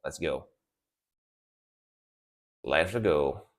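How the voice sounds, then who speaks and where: A man's voice: a bass voice, extremely slow. A young man with an Italian accent, non-native English speaker, giving casual, enthusiastic music reactions on a live stream with a rambling, repetitive way of talking. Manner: enthusiastic, rambling, repeats words like "sick".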